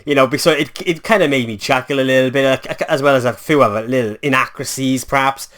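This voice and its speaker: A man's voice: mid-range voice, fast talker, a bit bright. A man from Wales with a Welsh accent, giving a casual spoken film review in a chatty, rambling way with many fillers and self-corrections.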